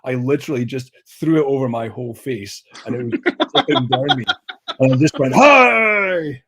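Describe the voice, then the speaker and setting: A man's voice: mid-range voice, normal speed. A man chatting as a guest on a video call, telling stories in a big, projecting voice with self-mocking humor. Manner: self-mocking, animated storyteller. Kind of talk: casual chat.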